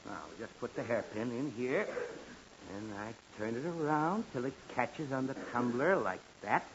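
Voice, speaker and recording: A man's voice: mid-range voice, normal speed, natural voice. An actor playing an exasperated, blustering middle-aged father in an old time radio comedy. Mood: confident, then puzzled. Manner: flustered, blustering, sputtering. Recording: dull, hissy old radio recording.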